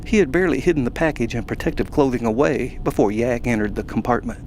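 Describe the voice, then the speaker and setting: A man narrating a science fiction audio story in a measured, even way. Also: medium pitch, slight background noise, low-quality recording.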